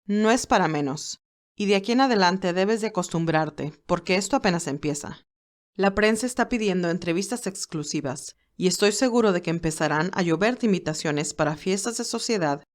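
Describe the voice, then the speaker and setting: A woman voicing a loyal, formal male business aide in an audio story, measured and advising. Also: on the low side, expressive, lightly acted.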